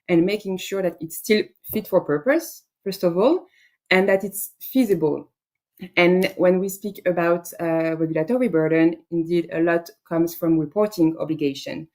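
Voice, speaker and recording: A woman's voice: on the low side, a little mellow. A woman on a panel who speaks for small businesses in an earnest, emphatic way. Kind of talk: panel discussion.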